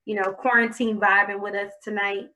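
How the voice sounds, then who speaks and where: A woman's voice: mid-range voice, little pitch movement, moderate pace, sharp tone, a little hiss or hum. A woman hosting a casual podcast interview over a video call, encouraging and chatty, sometimes fumbling her phrasing. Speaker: podcast host.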